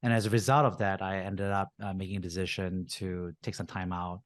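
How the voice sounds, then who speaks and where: A man's voice: low-pitched, little pitch movement, brisk, no room sound, clean studio sound. A man in his thirties from Singapore, a venture capitalist telling his story as a podcast guest, with frequent fillers. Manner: frequent uh and you know fillers, easygoing. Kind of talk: casual interview storytelling.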